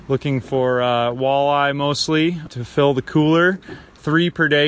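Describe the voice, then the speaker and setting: A man's voice: mid-range voice, fairly bright tone. An American man, a fishing guide, speaking casually and unscripted as he gives a radio-style outdoor report. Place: outdoors on a river boat.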